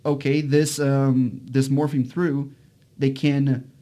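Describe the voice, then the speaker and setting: A man's voice: medium pitch, little pitch movement, slow, mellow. A man whose first language is Portuguese, hosting a casual interview and often repeating phrases as he works out his questions. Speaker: podcast host.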